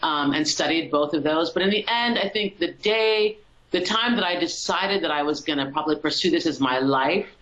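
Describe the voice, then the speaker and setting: A woman from Colorado, a musician, talking as an interview guest over a video call in a warm, reflective way, with frequent ums. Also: deep voice, normal speed, reverberant, lo-fi sound. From Colorado, USA. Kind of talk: casual interview conversation.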